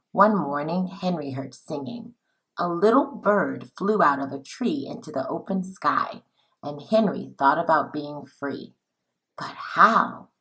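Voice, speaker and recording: A woman reading a children's picture book aloud like a teacher, in a dramatic, deliberate, expressive way. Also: deep voice, low-quality recording. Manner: dramatic, deliberate, with acted-out dialogue.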